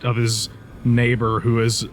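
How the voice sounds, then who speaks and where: A man co-hosting a let's play with casual, rambling, profane commentary, often halting as he searches for words. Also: mid-range voice, monotone, slight background noise.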